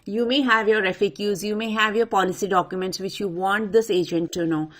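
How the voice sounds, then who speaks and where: A woman presenting an unscripted software tutorial, talking the viewer through each step in an instructional way. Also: medium pitch, fairly even intonation, brisk. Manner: instructional, addresses viewer directly. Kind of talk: step-by-step screen walkthrough, unscripted.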